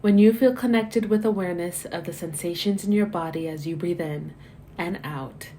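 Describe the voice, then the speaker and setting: Meditation guide, female, lowish relaxed voice, soothing and measured scripted delivery with gentle phrase endings. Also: slight background noise. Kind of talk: guided body scan meditation, scripted narration.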